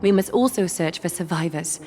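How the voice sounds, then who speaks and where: A woman playing a composed, dignified noblewoman leader in a video game, speaking in a measured, formal, authoritative way. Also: fairly low pitch, natural voice. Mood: grave, commanding. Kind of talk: dramatic video game voice acting.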